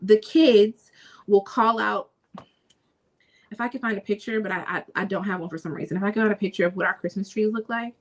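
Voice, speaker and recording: A woman's voice: medium pitch, a little fast. A young adult woman co-hosting a podcast in casual chat, talking with lots of fillers and frequent laughter. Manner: chatty, laughs often, many fillers. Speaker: podcast co-host.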